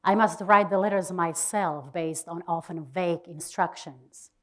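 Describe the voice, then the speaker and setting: A woman giving a dramatic reading of a diary, deliberate and expressive, with pauses. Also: fairly low pitch. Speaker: reader of a diary.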